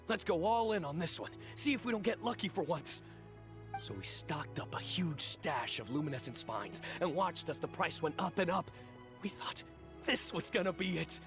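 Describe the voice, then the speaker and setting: A man's voice: a little high, close to an everyday voice. A man playing an earnest, anxious small-time businessman justifying himself in a video game. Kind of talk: scripted video game voice acting.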